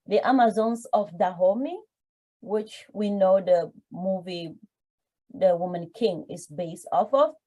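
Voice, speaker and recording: A woman's voice: medium pitch, warm tone, rough recording. A woman hosting an interview show, reading a guest introduction and then asking questions with affirming responses. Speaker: podcast host.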